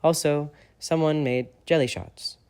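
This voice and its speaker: A man's voice: a little high, fairly even intonation, unhurried, darkish tone, clear, resonant tone, voice pushed up, natural voice. A man voicing a haughty, tipsy teenage boy wizard with a prim, indignant manner.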